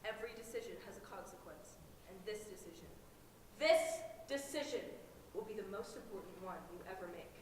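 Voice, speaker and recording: A woman's voice: medium pitch, lively intonation, fairly quick, natural voice. A young woman acting an intense, scheming student, echoey hall. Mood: ominous. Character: intense, scheming young female college applicant, coolly menacing. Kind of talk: student one-act stage play acting. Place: theater hall, recorded from audience distance. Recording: echoey hall, distant noisy mic.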